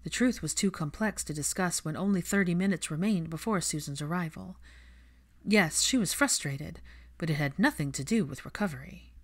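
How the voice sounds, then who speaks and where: A woman's voice: on the low side, moderate pace. A woman reading fiction aloud as an audiobook narrator, in scripted storytelling style.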